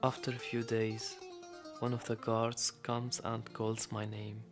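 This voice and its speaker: An actor playing a weary, imprisoned storyteller, narrating a radio play in a measured, grave way with a creaky voice. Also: male voice, fairly low pitch, completely flat delivery, over music. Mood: somber.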